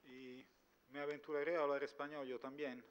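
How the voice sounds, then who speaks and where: A man's voice: fairly high pitch, flat delivery, fairly quick, muffled recording. A man, giving a formal conference presentation in a language that is not his first, hesitant and full of filler sounds, seated at a table microphone in a large hall. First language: Italian. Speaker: company executive speaker.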